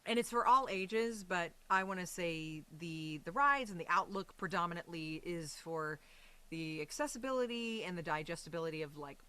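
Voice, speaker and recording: A woman's voice: fairly low pitch, animated, moderate pace, bright, forceful tone, clean tone. An American woman talking casually and animatedly as an interview guest. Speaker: guest, voice and stage actress.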